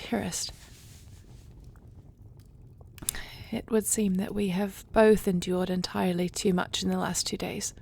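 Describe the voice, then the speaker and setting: Woman as dragon queen, audio roleplay, formal, intimate, fierce yet tender wife, mid-pitched, gravelly edge. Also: darkish tone. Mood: tender, relieved. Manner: intimate, formal storybook speech.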